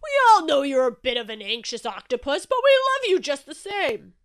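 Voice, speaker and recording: A woman voicing a loud, hearty male shark in a children's story, roaring with exuberant, big-hearted friendliness. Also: high voice, expressive, normal speed, voice pushed up. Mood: booming reassurance.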